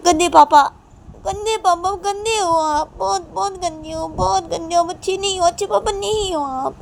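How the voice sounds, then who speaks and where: Woman voicing a high, whiny little girl. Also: moderate pace, vocal fry, slight background noise. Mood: indignant, tearful. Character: young daughter, upset, pleading and indignant. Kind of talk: amateur story acting.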